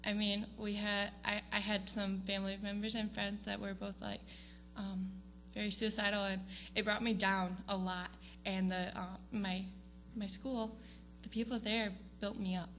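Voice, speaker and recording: Bright-voiced young woman speaking in church. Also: mid-range voice, little pitch movement, normal speed, hardly any pauses, a little hiss or hum. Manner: hesitant, many ums, laughs often. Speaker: student giving award speech. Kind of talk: casual speech to a church congregation. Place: church sanctuary.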